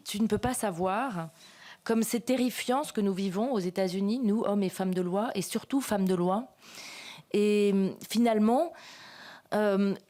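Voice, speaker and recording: A woman's voice: medium pitch, warm tone. Hesitant French woman introducing a guest. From France. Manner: hesitant, many fillers and restarts. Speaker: association president, moderator. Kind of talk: conference introduction.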